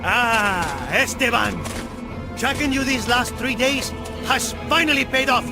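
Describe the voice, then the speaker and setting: A man's voice: extremely high pitch, a little slow, creaky, over music, full character voice. An actor playing an aggrieved, furious bandit in a game, indignantly accusing his double-crossing partner. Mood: furious, triumphant.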